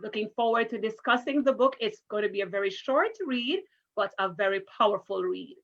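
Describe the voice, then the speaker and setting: A woman's voice: medium pitch, fairly quick, a bit bright. A woman, a primary school teacher reading to children in an encouraging, careful, interactive way over a video call. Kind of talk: interactive children's read-aloud. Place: online virtual story time call. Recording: muffled video call audio.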